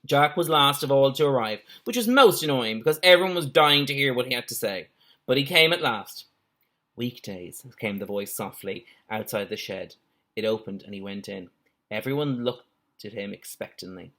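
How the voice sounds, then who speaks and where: A man reading a children's story aloud in an animated way, with playful asides like a teacher. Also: mid-range voice, normal speed.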